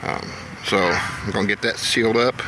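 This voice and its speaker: An American man from Indiana giving a casual, plain-spoken, unhurried how-to demonstration as a hobby vlogger, pausing as he works. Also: fairly low pitch, steady tone, very noisy. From Indiana, United States. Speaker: amateur radio hobby vlogger. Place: outdoors.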